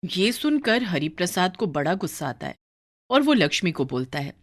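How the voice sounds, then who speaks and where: A woman's voice: fairly low pitch, big pitch swings, moderate pace. A woman narrating a scripted moral story for a cartoon.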